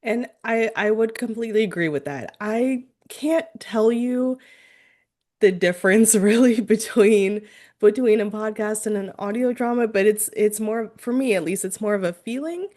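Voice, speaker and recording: A woman's voice: medium pitch, normal speed, a little mellow. A woman hosting an interview, agreeing with her guest and musing in a thoughtful, casual way. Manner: agreeable, thoughtful, with filler ums. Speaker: podcast interviewer.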